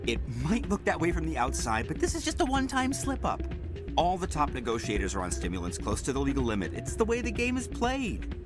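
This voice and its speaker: A man's voice: a little high, huge pitch swings, fairly quick. An actor playing a pushy, desperate male negotiator in a video game, agitated and pleading as he justifies himself. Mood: defensive. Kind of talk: video game dialogue acting. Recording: music playing behind the voice. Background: loud music.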